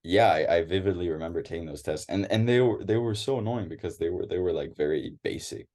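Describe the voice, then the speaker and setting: A man's voice: sits low, quick pace, mellow. A young American man chatting as an interview guest, hesitant, with many restarts and fillers. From United States. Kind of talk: casual interview chat.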